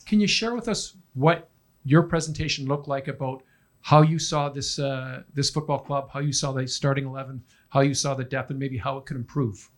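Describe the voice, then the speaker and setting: A man with an ordinary mid-pitched voice works as a reporter. He puts long, rambling questions to a coach at a press conference. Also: normal speed.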